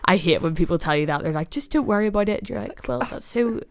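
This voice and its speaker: A young woman with a Northern Irish accent, a podcast host being interviewed, chatting casually, rambling and apologising, with a deep voice and frequent laughs. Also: expressive, quick pace, vocal fry. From Northern Ireland. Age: young adult. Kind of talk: casual chat.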